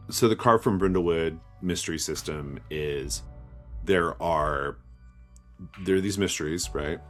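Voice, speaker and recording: A man with a very low voice gives a casual, step-by-step explanation as an interview guest. He talks conversationally, checking that the listener follows, with music running underneath. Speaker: game designer, interview guest.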